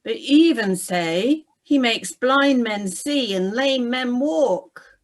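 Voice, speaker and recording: A woman's voice: a little high, expressive, takes it slowly. Woman voicing a troubled Roman soldier. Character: troubled male Roman soldier, uneasy and conscience-stricken.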